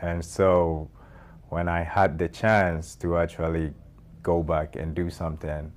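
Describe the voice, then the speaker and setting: A Ghanaian man answering interview questions in a measured way, with many fillers and restarts. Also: deep voice, little pitch movement. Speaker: guest, nonprofit founder.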